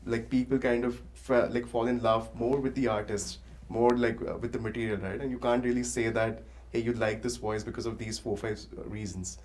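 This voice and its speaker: A man talking casually in a halting way, with frequent filler words as he explains his thoughts. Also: medium pitch, level tone, darkish tone. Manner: halting, filler-heavy, says "like" constantly. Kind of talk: casual discussion.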